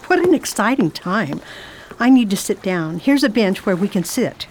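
A woman's voice: mid-range voice, lively intonation, normal speed, close to an everyday voice. A plucky, curious lady traveler voiced by a woman in a radio play. Her diction is proper and exact, in a mid-range voice over slight background noise. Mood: bright, reflective. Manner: proper, precise, formal diction. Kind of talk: radio drama acting.

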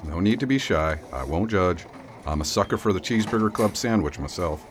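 A man's voice: low voice, expressive, a little fast, slight background noise. A man acting as a wry, folksy, kindly small-town sheriff in a radio drama. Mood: kindly, reassuring.

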